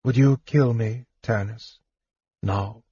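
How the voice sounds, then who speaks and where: A man voicing a frail young mage in a fantasy audiobook, bitter, weary and cynical, speaking in a hushed way. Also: lowish voice, a little slow, breathy edge, no room sound. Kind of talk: audiobook fantasy character dialogue. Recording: slightly muffled, dull sound.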